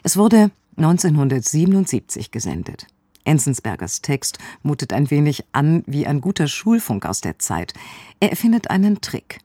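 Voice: medium pitch, big pitch swings, moderate pace, warm tone, dry recording. A radio presenter giving a scripted introduction to an audio drama. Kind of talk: scripted narration.

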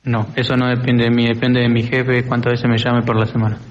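A man's voice: mid-range voice, monotone, brisk. An Argentine teenage boy answering informal interview questions plainly and matter-of-factly, recorded in a classroom. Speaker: student interviewee. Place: school classroom. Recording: dull, echoey classroom recording.

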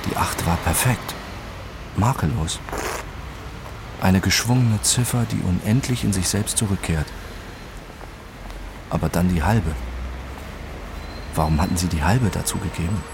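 An actor playing a detached accident survivor who narrates his own story deliberately and precisely in a radio drama. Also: low-pitched, vocal fry, background noise. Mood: brooding, neutral. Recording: music under the voice.

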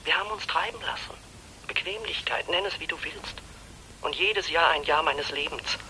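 Radio drama wife, middle-aged, yearning to escape, intense and pleading, piercingly bright tone. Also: female voice, sits low, moderate pace, a little hiss or hum, low-quality recording. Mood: bitter, weary. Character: disillusioned middle-aged wife longing to escape her life. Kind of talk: German radio play acting.

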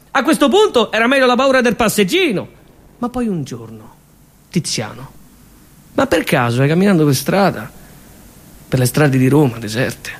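A man with a Roman accent performing a comic theatre monologue, chanting repetitive wordplay in loops and swinging between hushed asides and sudden shouts. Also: high-pitched. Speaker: stage actor.

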